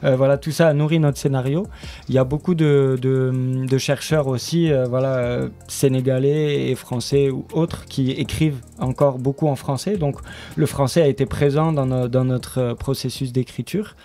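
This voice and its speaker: Halting man explaining, mellow voice. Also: mid-range voice, steady tone, soft background music. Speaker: guest, radio drama writer and studio member. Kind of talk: unscripted radio interview.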